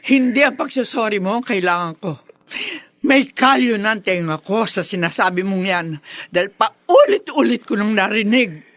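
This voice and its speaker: A woman's voice: medium pitch, lively intonation, moderate pace, a bit bright, dry recording, natural voice. An actress playing a wronged, protective, vengeful mother in a Filipino radio drama, confrontational and dramatic. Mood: angry, accusing.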